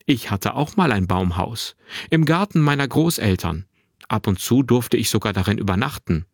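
A man's voice: fairly low pitch, lively intonation, moderate pace, no room sound, studio quality. A man voicing a friendly, easygoing and slightly bemused grown man on holiday, in dialogue from a narrated story. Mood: wistful, intrigued.

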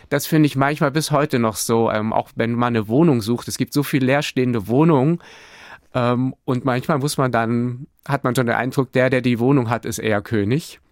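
A man from Hamburg talks as an interview guest, in a middle-pitched voice. He thinks out loud, restarting sentences and pausing with fillers. The studio sound is close and dry. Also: no room sound. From Hamburg, Germany. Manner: thoughtful, many fillers and restarts. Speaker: church planter, interview guest. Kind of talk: radio interview answer.

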